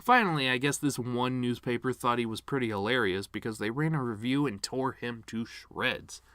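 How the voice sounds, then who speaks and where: Male actor as washed-up middle-aged TV star, wounded and sardonic, eulogy monologue, medium pitch, very dry close sound. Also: normal speed, no echo, lightly acted. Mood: exasperated. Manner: sardonic, theatrical, swings between outbursts and hushed asides. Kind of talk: dramatic monologue reading, fan performance.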